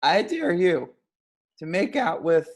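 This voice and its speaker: A man's voice: high voice, sing-song, takes it slowly, vocal fry, heavily acted. A man playing a stoned, grumpy, sarcastic young slacker in an amateur table read. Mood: sardonic, stoned.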